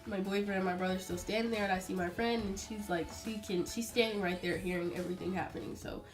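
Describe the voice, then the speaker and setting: A young woman vlogger tells a story in a casual, chatty way. Her voice sits at an average pitch and she gets dramatic as she relays who said what, with music playing behind her. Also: fairly even intonation, fast. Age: young adult. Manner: chatty, dramatic, acts out quotes. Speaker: vlogger telling a personal story. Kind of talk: casual animated storytelling to camera.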